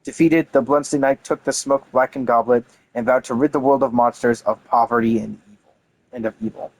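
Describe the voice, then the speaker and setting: Male gamer, mid-pitched voice, reading lore aloud with casual, enthusiastic commentary. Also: animated, fairly quick, creaky, rough recording. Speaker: gaming content creator.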